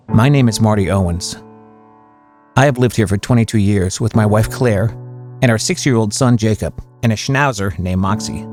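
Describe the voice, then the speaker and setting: An ordinary small-town family man, played by an actor, wistfully recounting eerie memories. His voice is on the low side, with folksy, measured storytelling over clearly audible music in a horror audio drama. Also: darkish tone, lightly acted. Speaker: first-person narrator.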